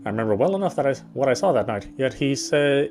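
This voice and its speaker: Fast-reading male narrator. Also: medium pitch, lively intonation, darkish tone, faint music behind. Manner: quick, with slips and self-corrections. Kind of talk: first-person narration of a visual novel.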